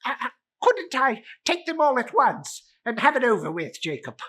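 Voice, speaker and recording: A man plays a frightened old male miser from a storybook. The voice jumps high and bright, stammering through timid, whining pleas. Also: big pitch swings, normal speed, slightly rough, full character voice. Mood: timid reluctance. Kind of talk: dramatic storybook reading.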